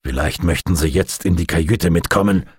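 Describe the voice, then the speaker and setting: A man's voice: deep voice, a little fast, a little mellow, breathy edge, no room sound, studio quality, pitched below the performer's own voice. A man voicing a commanding, sardonic navy captain in an audiobook, with an authoritative manner. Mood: stern.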